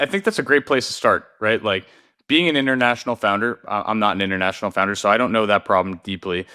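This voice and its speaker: A man's voice: fairly low pitch, sharp tone. A man hosting a podcast, upbeat and friendly, with some fillers as he sets up questions. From Newton, Massachusetts. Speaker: podcast host. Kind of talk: podcast interview.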